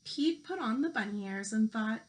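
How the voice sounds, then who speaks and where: A woman teacher reading a children's picture book aloud, speaking deliberately to young listeners. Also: a little high, expressive. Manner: slow, deliberate delivery addressed to young children. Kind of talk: children's storybook read-aloud.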